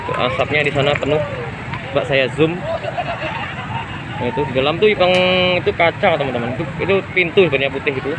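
A man holds his phone up outdoors at night and reports the scene to his viewers. He talks urgently and keeps repeating himself. His voice sits a little high, and the phone picks up loud street noise around him. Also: fast, pauses often, a loud crowd. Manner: urgent, repetitive, addresses viewers as friends. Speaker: citizen reporter vlogger. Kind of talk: on-the-spot phone video report. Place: outdoors at night by a mall fire, busy street. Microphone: phone camera held by the filmer.

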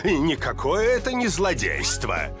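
An actor voicing a scheming, greedy male villain who pitches his product like a showman, in a game cutscene with music behind. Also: huge pitch swings, a little slow. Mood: smug pitch. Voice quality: theatrical, salesman-like delivery. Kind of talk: Russian video game dub.

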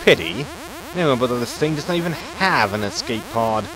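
A man's voice: mid-range voice, lively intonation, normal speed, over music, close to an everyday voice, low-quality recording. A man playing a wry, exasperated spaceship captain in a sci-fi comedy audio drama, sarcastic and grumbling as he gives orders.

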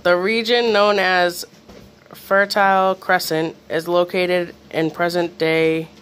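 High-pitched casual quiz reader, faint background noise. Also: level tone, slow pace, a bit bright. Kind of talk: casual quiz, reading questions aloud. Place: small room in a home, off camera.

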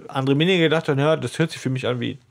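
A man's voice: mid-range voice, very fast, creaky. A man co-hosting a podcast, explaining his views colloquially in a casual discussion. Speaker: podcast co-host. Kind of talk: casual discussion of an audio drama.

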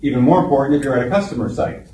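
A man in his forties runs a presentation skills workshop, upbeat and deliberate, stretching out the key points. His voice is mellow and sits at an average pitch. It was caught from across a large training room, with echo and a faint hiss. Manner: upbeat, calls on trainees by name, slows down to stress points. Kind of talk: classroom workshop lecture. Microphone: room camera from a distance. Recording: echoey room, distant mic.

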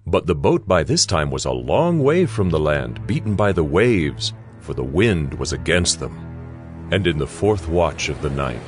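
A man's voice: very deep voice, big pitch swings, unhurried, slightly rough. A man giving a dramatic scripted scripture narration. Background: music.

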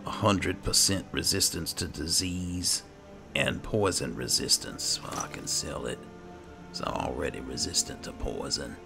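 A man with an extremely deep voice full of heavy fry hosts a game playthrough. He mutters to himself and reads item text without hurry, and game music plays clearly behind him. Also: unhurried. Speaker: gaming video host. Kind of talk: roleplay game playthrough commentary, reading item text aloud. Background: video game music.

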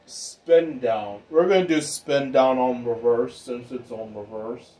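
A man giving a casual, informal hobbyist demonstration in an unhurried, rambling way. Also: mid-range voice, warm tone, low-quality recording. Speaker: ceiling fan hobbyist vlogger. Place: indoor room with exposed pipes and ductwork.

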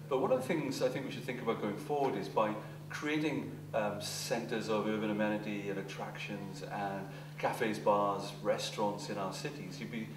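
Middle-aged man, echoey distant interview. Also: fairly low pitch. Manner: thoughtful, measured, with frequent fillers and restarts. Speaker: keynote speaker on urban growth. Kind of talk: interview answers to off-camera questions. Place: office room with plants, indoors. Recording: roomy, distant, with steady hum.

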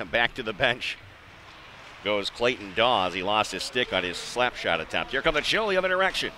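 An American man doing live radio-style play-by-play hockey commentary, measured in routine play and excitable on big plays. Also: on the low side. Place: indoor ice arena. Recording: noisy live rink broadcast.

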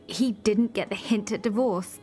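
A woman's voice: mid-range voice, normal speed, a little mellow, soft background music, lightly acted. A woman acting in a radio soap as a blunt, grudge-holding partner, terse and sardonic.

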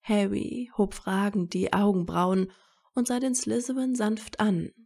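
A woman reading scripted romantic fiction as a narrator, with a measured storytelling delivery. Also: mid-range voice, fairly even intonation, vocal fry, warm tone, slightly rough.

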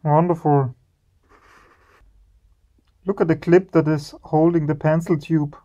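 A man hosting an electronics teardown video, slowly and admiringly commenting on the parts he finds. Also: on the high side, level tone, warm tone, muffled recording. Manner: slow, admiring, savoring each part.

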